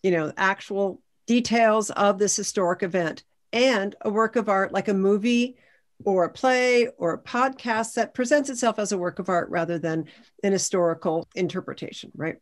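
Mid-pitched American woman explaining. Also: moderate pace. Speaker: historian, panelist. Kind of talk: panel discussion, explanatory talk. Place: indoors at home, video call. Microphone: video call.

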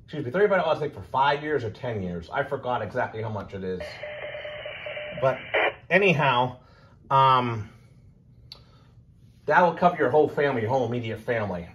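A man giving a casual, unscripted explainer as a hobbyist presenter, halting, repeating himself and trailing off. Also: mid-range voice, lively intonation, fairly bright tone, lo-fi sound, slight background noise. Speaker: presenter of a radio hobby video.

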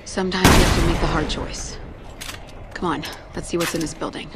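A woman's voice: on the low side, fairly even intonation, brisk, very noisy, lightly acted. A woman playing a resolute, level-headed young survivor in a video game, firm and direct.

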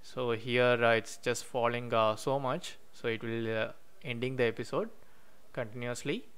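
Male tutorial host with a smooth, clear mid-pitched voice, narrating code at a desk with typing behind. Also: fairly bright tone. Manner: explains step by step while typing code, many "uh" pauses. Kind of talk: screen-recorded coding walkthrough. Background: keyboard typing.

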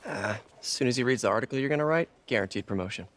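A man's voice: mid-range voice, expressive, rapid-fire, a bit bright, natural voice. An actor playing an awkward, stammering young male scientist in a video game, nervous as he talks at a diner.

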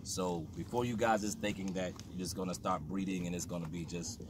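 A man's voice: low voice, steady tone, fairly quick. Rambling man interviewing on a noisy boat. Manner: loose, rambling, quotes dogs and callers. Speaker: interviewer and dog breeder. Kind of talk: casual interview chat. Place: open motorboat on a lake. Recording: distant mic, loud wind and water noise. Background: boat motor and water.

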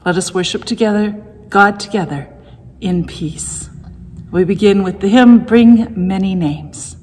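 A middle-aged woman leading a church service from home, reading prayers in a calm, measured way. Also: medium pitch, in a large hall. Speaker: worship leader. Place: home room, indoors.

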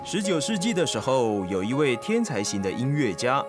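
A man's voice: medium pitch, very animated, normal speed, close to an everyday voice. A man acting a strict schoolteacher lecturing a class in a radio drama. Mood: instructive, stern. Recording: radio drama with loud music underneath.

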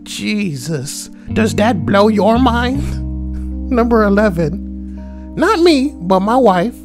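A man's voice: high-pitched, big pitch swings, takes it slowly, music in the background, heavily acted. A man voicing a brash, foul-mouthed schoolboy, reading posts for comedy and adding slangy, mocking asides. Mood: incredulous, mocking. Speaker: host reading forum posts. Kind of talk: comedic reading with crude commentary.

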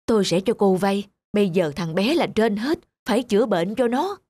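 An actress voicing a plump, middle-aged village woman in an animated folk tale, gossipy, superstitious and insistently persuasive. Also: mid-range voice, moderate pace, breathy edge, no echo. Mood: eager.

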